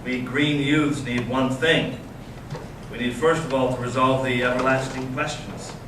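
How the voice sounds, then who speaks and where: A man's voice: mid-range voice, little pitch movement, measured pace, natural voice. Man acting an intense young brother, echoey and distant. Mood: challenging. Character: bitter, intense young intellectual Russian brother, searching and provocative. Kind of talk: staged readers theater reading. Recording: echoey room, distant mic, noisy amateur recording.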